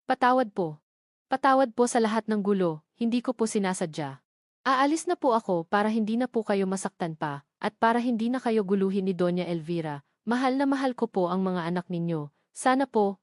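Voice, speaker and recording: A woman's voice: medium pitch, animated, moderate pace, no room sound, studio quality, close to an everyday voice. A woman narrator voicing a humble, heartbroken young housemaid in a story, polite, tender and pleading. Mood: sorrowful, pleading.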